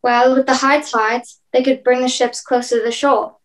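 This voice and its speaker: A woman's voice: high voice, little pitch movement, moderate pace, lightly acted, poor audio. A young woman playing a resolute, commanding ship's captain in an amateur radio play, speaking firmly. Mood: grave.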